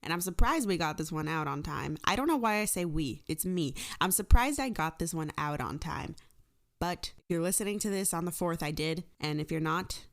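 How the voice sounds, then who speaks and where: A woman's voice: on the low side, animated, normal speed. A woman hosting her podcast in a casual, rambling, joking and self-deprecating way. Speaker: podcast host and creator.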